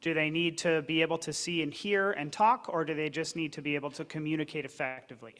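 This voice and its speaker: A man's voice: on the high side, fairly even intonation, fast, fairly bright tone. A man on a discussion panel, explaining earnestly and at length.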